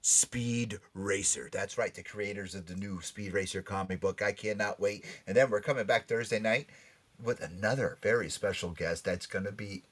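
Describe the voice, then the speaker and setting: A man hosting a podcast with excitable, joking banter, rambling and repeating phrases for effect. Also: on the low side, normal speed. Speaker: podcast host.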